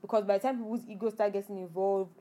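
A woman's voice: medium pitch, steady tone, fast, warm tone. A young woman with a Nigerian accent, co-hosting a podcast in casual chat, blunt and opinionated, dropping Nigerian slang. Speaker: podcast co-host.

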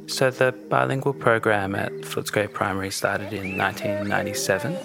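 Man, journalist guest; low, gravelly, even-toned, measured and reflective; faint music bed. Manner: measured, thoughtful, slight hesitations. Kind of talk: interview conversation.

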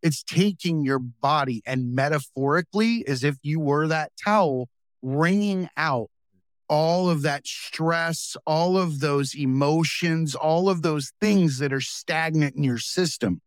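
A man hosting a podcast, talking casually and drawing out homey analogies, slowing down on the points he wants to stress. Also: a little high, no echo, studio quality. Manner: folksy, uses homey analogies, slows for emphasis. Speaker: podcast host. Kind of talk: casual interview talk.

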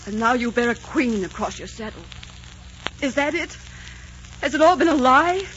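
A woman's voice: sits high, lively intonation, normal speed, a bit bright. An actress playing a passionate, desperate young Queen of Scots in a melodramatic, imploring 1940s radio romance style. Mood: hurt, insistent. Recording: dull, noisy 1940s radio recording.

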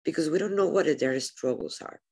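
A woman's voice: sits low, a little fast, creaky, warm tone, breathy edge. A woman hosting a podcast, speaking in a reflective, plain-spoken way. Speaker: podcast host. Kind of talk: casual conversation.

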